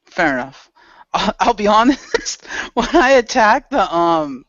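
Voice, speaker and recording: A man's voice: high voice, sing-song, takes it slowly, fairly bright tone, dry recording. A man playing along in a casual role-play radio show with easy banter, recorded with dull sound. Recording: dull, lo-fi audio.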